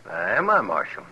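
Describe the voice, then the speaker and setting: A man's voice: on the low side, pushed and bright, exaggerated voice. An actor playing a sly, needling gunman who feigns innocence in a 1950s western radio drama. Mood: mock innocence. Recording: muffled old 1950s radio recording.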